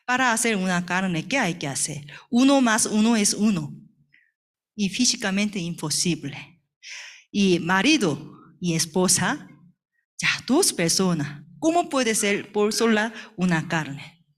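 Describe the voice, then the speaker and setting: A woman from South Korea with a Korean accent and Korean as her first language, teaching the Bible to a congregation in halting, simple phrases with short check questions. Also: mid-range voice, animated.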